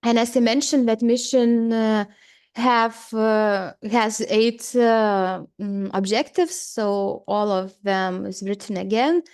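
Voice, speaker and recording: Slow, halting Latvian-accented woman presenter. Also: on the high side, a little mellow, clean tone. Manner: halting, many fillers and restarts. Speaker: seminar presenter. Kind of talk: seminar presentation.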